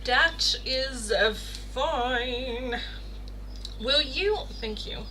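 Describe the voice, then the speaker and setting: A woman's voice: high voice, drawn-out words. A woman from the Netherlands, a native Dutch speaker, chatting casually and expressively as she hosts a live tarot stream. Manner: chatty, expressive, swears playfully. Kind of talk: casual live chat with viewers. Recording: clear background noise.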